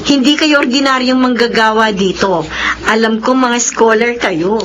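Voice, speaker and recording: A woman's voice: on the high side, moderate pace, a bit bright, natural voice. An actress playing a kindly, motherly canteen server with a Filipino accent in a radio drama, speaking caringly. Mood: kindly, approving. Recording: radio drama with busy crowd.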